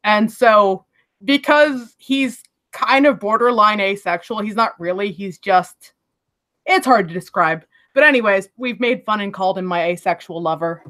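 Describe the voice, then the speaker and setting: A woman, Spanish her first language, chatting casually on a voice call, talkative and fond of arguing, with quick laughs. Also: mid-range voice, fairly bright tone. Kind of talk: casual voice chat.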